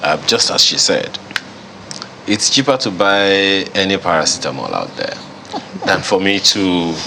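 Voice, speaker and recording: A man's voice: sits low, very animated, unhurried, gravelly, bright, forceful tone, slight background noise. A man hosting a TV studio discussion, talking casually and searching for words with fillers. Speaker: TV talk show host.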